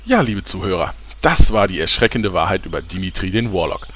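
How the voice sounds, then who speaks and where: Man acting a deep-voiced, theatrical TV reporter. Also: big pitch swings, a little fast, a bit bright, slight background noise. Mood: sensational, dramatic. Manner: dramatic announcer delivery with embarrassed stammers. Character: pompous sleazy male TV reporter, easily flustered. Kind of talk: mock investigative report in an audio parody.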